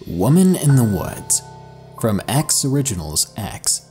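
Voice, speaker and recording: A man reading first-person horror stories in a slow, hushed, dramatic storytelling manner. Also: medium pitch, big pitch swings. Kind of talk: scripted horror narration. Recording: faint music underneath. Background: soft background music.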